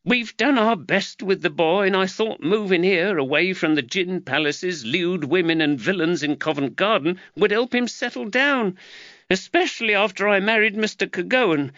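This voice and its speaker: A man voicing an angry, shrill, middle-aged London mother who scolds and shrieks. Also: normal speed, fairly bright tone, no echo, lo-fi sound. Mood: anguished.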